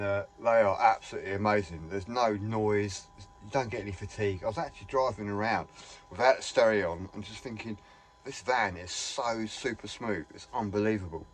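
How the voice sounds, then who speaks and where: A man chatting casually to camera from inside his camper van, rambling and enthusiastic, his voice a little hoarse. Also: lowish voice. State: slightly hoarse. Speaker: vlogger. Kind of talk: casual vlog chat.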